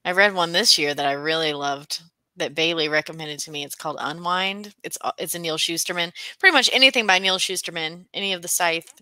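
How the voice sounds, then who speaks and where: A woman's voice: fairly low pitch, brisk, bright, forceful tone, no echo. A woman chatting casually with a friend as a guest on a reading stream, playful and thinking aloud as she talks. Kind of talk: casual chat between friends.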